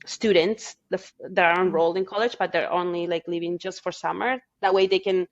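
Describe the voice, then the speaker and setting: A young woman from Peru with a Peruvian Spanish accent, chatting as a podcast guest and acting out quotes in a higher, excited voice. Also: brisk, lo-fi sound. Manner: animated storyteller, acts out quotes in a higher voice, laughs often. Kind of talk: casual podcast conversation.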